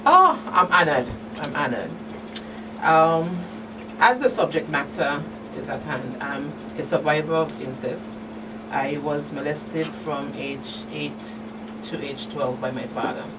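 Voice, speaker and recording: A woman talks as a community activist guest in a live radio interview. Her pitch is medium, and she sounds away from the mic with clear noise in the background. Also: measured pace, distant mic.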